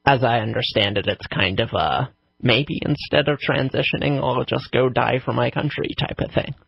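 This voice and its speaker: Gravelly, husky co-host with deadpan delivery. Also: medium pitch, moderate pace, no echo, lo-fi sound. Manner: dry, deadpan humor. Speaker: radio show co-host. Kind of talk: casual banter.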